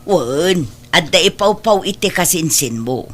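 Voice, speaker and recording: A woman's voice: lowish voice, expressive, a little slow. A woman playing a concerned, meddling middle-aged aunt in an Ilocano audio drama, fretful and chiding, with an Ilocano accent.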